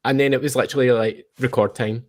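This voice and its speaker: A man hosting a casual chat interview, talking in an informal, animated way and often cutting into his own sentences. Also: mid-range voice, fast talker. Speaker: podcast host.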